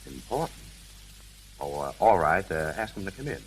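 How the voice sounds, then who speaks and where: A suave, guarded gentleman with a deep voice that moves freely up and down. He speaks in old radio drama style over faint hiss and crackle. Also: sing-song, moderate pace. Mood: casual, smooth. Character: suave, guarded adult son and gentleman suitor. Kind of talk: 1930s American radio drama acting. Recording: hissy, crackling 1930s radio recording.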